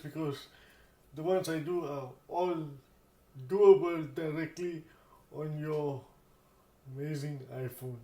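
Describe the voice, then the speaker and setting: Male tech demo host, fairly high voice, casual stop-start delivery with repeated words, echoing room sound. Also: warm tone. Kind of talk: casual spoken tech demonstration.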